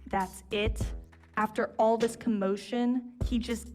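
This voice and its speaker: A woman's voice: a little high, fairly even intonation, slow, darkish tone, soft background music. A woman playing a bitter, vengeful doctor with a grudge, biting and scornful in an audio drama. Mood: indignant.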